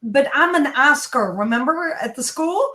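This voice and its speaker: A woman's voice: high-pitched, animated, moderate pace, bright, forceful tone, reverberant. A woman, a guest on an interview show, giving emphatic, coaching advice and addressing listeners directly. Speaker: guest, kindness speaker.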